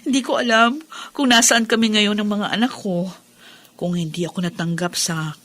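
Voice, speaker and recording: A woman's voice: medium pitch, fairly even intonation, moderate pace, a bit bright, lightly acted. An actress playing a cheerful, teasing middle-aged widowed mother and older sister in a radio drama. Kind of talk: Tagalog radio drama acting.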